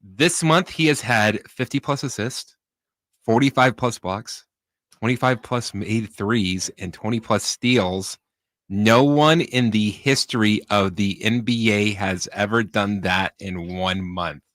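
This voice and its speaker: Bright-voiced, lowish American sports co-host man. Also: slow pace, no room sound. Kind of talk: casual sports talk, reading stats.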